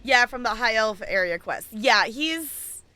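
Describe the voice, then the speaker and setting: A woman chatting casually and playfully while livestreaming a game, thinking out loud as she goes. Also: on the high side, animated, unhurried, no room sound. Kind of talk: casual stream chat while playing, reading game dialogue aloud.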